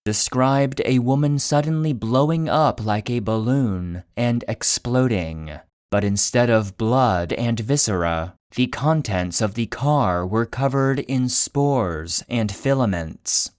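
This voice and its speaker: A man narrating a scripted fictional case file for a podcast in a measured, serious, clinical manner. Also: medium pitch, animated, a little mellow, dry recording, clean studio sound.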